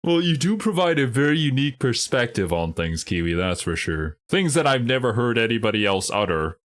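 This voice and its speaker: A man's voice: medium pitch, big pitch swings, brisk, a bit bright. A man hosting a casual livestream, bantering and commenting with teasing, playful exasperation. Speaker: host reacting to game trailers with a friend. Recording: music behind the voice. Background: music.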